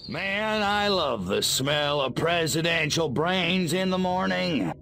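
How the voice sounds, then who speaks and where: A man playing a wisecracking, cocky little gray alien invader in a video game, with a sardonic, deadpan manner. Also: on the high side, slow, gravelly, slight background noise, exaggerated voice. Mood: flippant.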